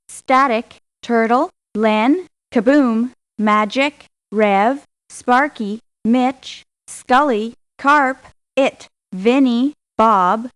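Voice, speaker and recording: An actress playing a proud, aggrieved mercenary for hire in a video game, with a curt, assertive delivery. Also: female voice, on the high side, smooth. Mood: flat roll call. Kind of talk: scripted video game voice lines. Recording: lo-fi muffled game clips in places.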